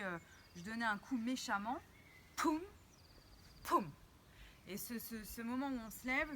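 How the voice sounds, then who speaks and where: Woman, dance teacher, demonstrating moves, counting aloud, slightly high voice, faint background noise. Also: pushed and bright. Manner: demonstrates while talking, counting beats and sung syllables. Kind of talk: step-by-step dance instruction.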